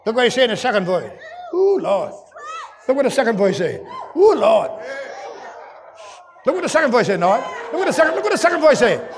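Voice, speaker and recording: A man preaching a sermon to his congregation, shouting and chanting in a call-and-response style. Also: very high-pitched, sing-song, a little fast, pauses often. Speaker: pastor. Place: large church. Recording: crowd and noise behind, loud. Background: congregation crowd.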